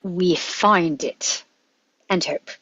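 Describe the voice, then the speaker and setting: A woman reading the part of an eccentric, quick-witted male adventurer doctor, wry and musing, in a script read-through. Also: on the low side, fairly bright tone, husky, no room sound, full character voice. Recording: dull lo-fi microphone.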